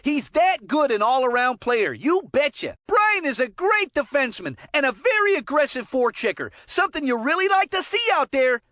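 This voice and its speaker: An actor voicing an excitable kid sports commentator in a cartoon hockey game, calling players with folksy enthusiasm. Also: high-pitched, normal speed, hardly any pauses, no echo, studio quality. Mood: upbeat, folksy.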